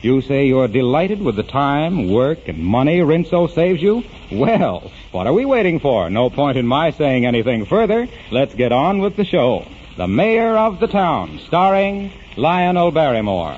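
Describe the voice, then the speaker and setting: A man's voice: a little high, big pitch swings, a little slow, few pauses, darkish tone. A man with an American accent announcing a 1940s radio show in folksy, salesmanlike patter. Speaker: announcer and sponsor spokesman. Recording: muffled, noisy vintage radio recording.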